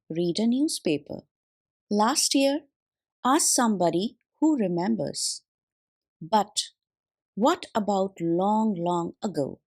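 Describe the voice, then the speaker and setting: A woman reading a school textbook aloud as scripted audiobook narration, in deliberate, measured phrases. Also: medium pitch. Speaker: audiobook reader.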